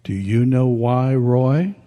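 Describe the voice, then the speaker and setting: A man acting as a kindly, thoughtful confidant in a radio play, tender and deliberate in his delivery. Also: mid-range voice, warm tone, lightly acted. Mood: curious, gentle. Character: Colonel Bear, kindly, thoughtful confidant to the bereaved. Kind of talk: old-time radio drama acting and narration. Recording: echoey hall, live stage reading.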